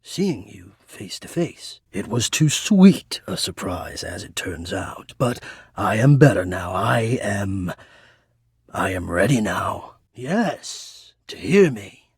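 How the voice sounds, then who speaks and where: An actor playing a shy, formal and lovestruck male ventilation system in a dating game, speaking earnestly and haltingly in a breathy voice. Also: fairly low pitch, sing-song, no echo, clean studio sound, full character voice. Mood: earnest, apologetic. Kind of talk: dating game character voice acting.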